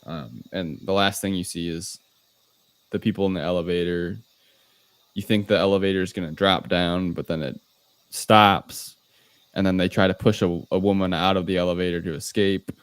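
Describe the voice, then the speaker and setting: A man's voice: low voice, steady tone, moderate pace, smooth, dry recording. A man hosting a podcast, casually recapping a film in an informal, hesitant, loosely explaining way. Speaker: podcast host. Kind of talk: casual movie recap discussion.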